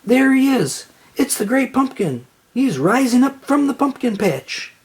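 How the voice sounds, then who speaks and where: A storybook reader voicing a hopeful, earnest and excitable young boy. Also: high voice, unhurried, husky, pitched above the performer's own voice, heavily acted. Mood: excited. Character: hopeful young boy who believes in pumpkin legend.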